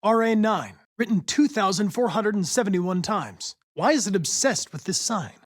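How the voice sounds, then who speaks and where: A man with an American accent playing a polite, determined young android police investigator in a video game, speaking in a precise, formal, measured way. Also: high-pitched, lively intonation. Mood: formal, insistent. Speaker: actor voicing a video game character.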